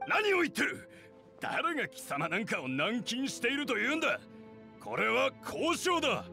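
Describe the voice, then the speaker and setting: A man's voice: very high-pitched, wildly swooping pitch, moderate pace, gravelly, pushed and bright, soft background music, heavily acted. An actor playing a scheming, easily indignant elderly clan head in an anime game, formal and deferential to his ruler. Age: older. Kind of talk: anime game character acting.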